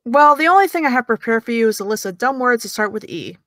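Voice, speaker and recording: A woman plays a young woman in an audio drama with a fairly high voice, recorded close and dry. She is smug and taunting, needling with sarcastic, teasing remarks. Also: lively intonation, fast talker, a bit bright, no room sound. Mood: mocking. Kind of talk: audio drama dialogue of a video call.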